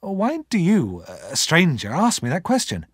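A man voicing a polite, guarded young gentleman in his twenties in an audiobook, formal and emphatic. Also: fairly high pitch, wildly swooping pitch, measured pace, husky, dry recording, clean studio sound, pitched above the performer's own voice, heavily acted. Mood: wary.

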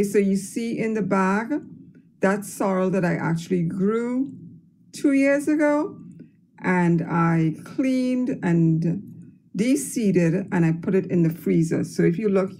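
A woman's voice: medium pitch, slow, vocal fry, dark tone. A woman, explaining a drink recipe step by step in a recorded cooking demonstration. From Trinidad and Tobago.

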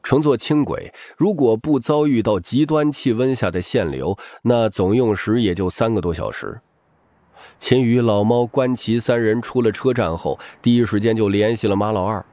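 A man's voice: lowish voice, normal speed, warm tone, no room sound, clean studio sound. A man narrating an audiobook as a dramatic scripted story.

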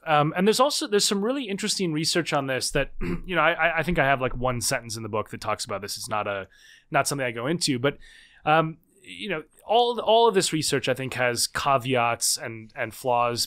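A man in his thirties, a podcast guest and author, explaining at length in quick conversational speech with frequent restarts and repeated words. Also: medium pitch, very animated, a bit bright. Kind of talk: conversational interview.

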